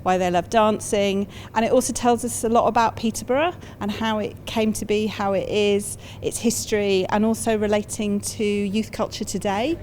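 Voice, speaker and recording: A woman's voice: mid-range voice, steady tone, normal speed, hardly any pauses, darkish tone, slight background noise. A woman speaking as a project organiser in a documentary interview. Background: music.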